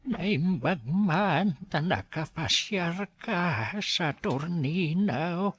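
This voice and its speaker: A woman playing a frail, kindly elderly father in an audio drama, with a raspy, halting delivery. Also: lowish voice, expressive, no room sound, heavily acted. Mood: welcoming. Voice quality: raspy, creaky. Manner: halting, slow. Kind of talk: radio drama acting.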